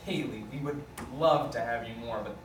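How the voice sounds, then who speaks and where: A man's voice: medium pitch, normal speed, close to an everyday voice. A man playing a cautious, skeptical small-time lawyer in a table read, hesitant and questioning. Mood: reluctant. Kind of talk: amateur script table read. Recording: echoey room, distant mic, noisy.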